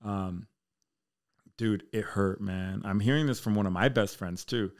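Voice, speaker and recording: A man's voice: lowish voice, unhurried. An American man chatting casually and candidly as a podcast guest, with slangy, bro-heavy speech. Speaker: podcast guest, military fire chief.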